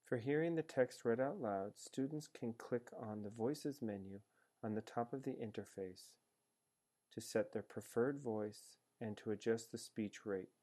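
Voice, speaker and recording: A man reading a scripted software tutorial in a measured, explanatory manner as a voice-over. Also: medium pitch. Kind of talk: scripted instructional narration. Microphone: screencast voice-over.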